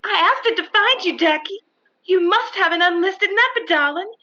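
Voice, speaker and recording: A sugary blonde femme fatale coos in a very high, bright voice. She is coy and gushing in the manner of old radio comedy, on slightly muffled audio. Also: lively intonation, moderate pace, heavily acted. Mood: gushing, flirtatious.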